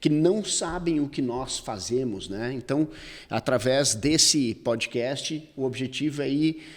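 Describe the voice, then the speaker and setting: Earnest man, measured. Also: mid-range voice, animated. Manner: earnest, measured, uses metaphors of sowing. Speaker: podcast co-host. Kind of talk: casual podcast conversation. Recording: long hall reverb.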